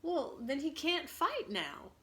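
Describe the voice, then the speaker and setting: An awestruck young English boy from a storybook, acted out by a woman. He is eager and old-fashioned in his politeness, speaking at an unhurried pace. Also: high voice, huge pitch swings, fairly bright tone, pitched above the performer's own voice, full character voice. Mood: curious, nervous. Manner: husky whispers when nervous, old-fashioned politeness.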